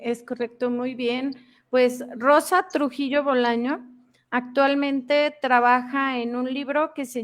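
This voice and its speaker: A woman hosting a cultural radio program, courteous and unhurried with fillers. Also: high voice. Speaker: radio show host.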